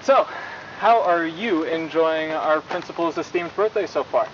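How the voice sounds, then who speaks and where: A man's voice: a little high, unhurried, natural voice. Young man playing a formal male student newscaster, faintly menacing, polished delivery, muffled old broadcast audio, slight noise. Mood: formal, upbeat. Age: young adult. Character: cheery, faintly menacing male high school news anchor. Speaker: news host. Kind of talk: 1990s school broadcast presenting, analog horror acting. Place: outdoors in a forest at night. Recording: dull lo-fi VHS-style audio, faint noise.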